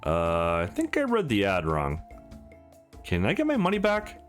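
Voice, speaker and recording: An easygoing young visual novel hero, played by a man, casual and wry. The pitch swings freely as he jokes, with game music behind. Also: wildly swooping pitch, a little slow. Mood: hesitant, sheepish. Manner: casual, joking.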